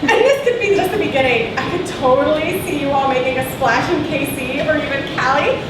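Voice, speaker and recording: A woman's voice: high voice, fairly quick, natural voice. A woman playing a chatty, oblivious, fashion-obsessed young Valley-girl type with breezy, gushing delivery in a comedy sketch reading. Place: small theater stage, distant mic. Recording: echoey, noisy theater, recorded from far off.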